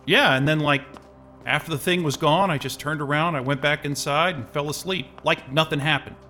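A man's voice: fairly high pitch, normal speed, pushed and bright, faint music behind. A man acting in an audio drama as a confused, impatient interview candidate who rambles and hesitates in a casual way. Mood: wistful, rambling.

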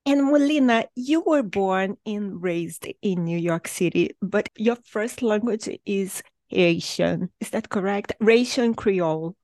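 A woman's voice: mid-range voice, lively intonation, slow pace, hardly any pauses, a little mellow, dry recording. Dry-sounding woman podcast host. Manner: asks questions, short encouraging replies. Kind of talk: podcast interview.

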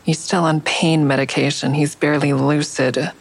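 A woman's voice: low voice, little pitch movement, normal speed, creaky. An actress playing an exhausted, protective and combative woman in an audio drama. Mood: defensive. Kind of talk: full-cast audio drama acting.

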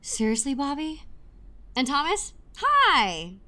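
A woman's voice: high voice, wildly swooping pitch, slow pace, bright, forceful tone, exaggerated voice. A woman playing an indignant, flustered musical theatre writer in a comic audio soap opera. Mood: startled. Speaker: actor in an audio drama.

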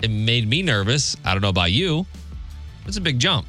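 A male radio host with a bright, forceful voice and very lively pitch. He talks casually and jokes his way through quirky news items, with light music behind him. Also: normal speed. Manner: jokey, conversational.

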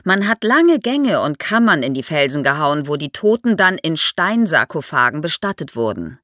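Female expert guest for an explainer podcast, lively intonation, vivid storytelling manner, very dry studio sound. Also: low-pitched, moderate pace, no echo. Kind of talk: explanatory podcast interview for young listeners.

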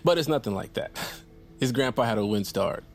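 A man's voice: mid-range voice, animated, fairly quick, soft background music, natural voice. An actor playing an awkward, earnest man in his thirties, a loyal friend who rambles and stammers, in a naturalistic audio drama. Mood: awkward, defensive. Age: 30s. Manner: rambling, stammering, self-deprecating.

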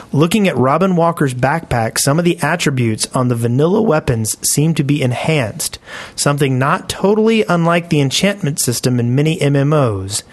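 A man co-hosting a podcast, casually reading listener email and chipping in with remarks. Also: a little high. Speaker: podcast co-host.

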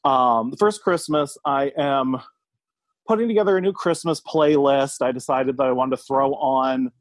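A man's voice: medium pitch, normal speed, dry recording. A man from the United States, a podcast guest, telling a personal story in a casual, thoughtful, somewhat hesitant conversational way.

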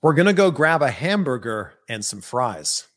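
Playful interviewer, lively pitch. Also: moderate pace. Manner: playful, teasing questions. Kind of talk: casual chat.

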